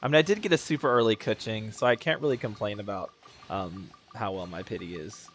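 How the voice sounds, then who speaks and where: Young guy game streamer, lower-pitched, easygoing banter with casual swearing. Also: brisk, slight background noise. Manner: laid-back, self-mocking, swears casually. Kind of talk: casual gameplay commentary.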